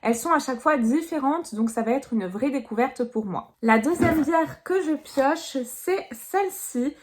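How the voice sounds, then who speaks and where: A high-pitched woman from Nice, France, talking through an unboxing in a casual, chatty way. She sounds upbeat and leans on fillers as she goes from item to item. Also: lively intonation, unhurried. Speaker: box reviewer. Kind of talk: casual product review.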